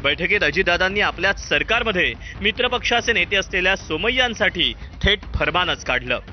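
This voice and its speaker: A man's voice: high voice, lively intonation, a little fast. A man reads scripted news narration in a dramatic style. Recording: slightly dull sound over music. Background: background music.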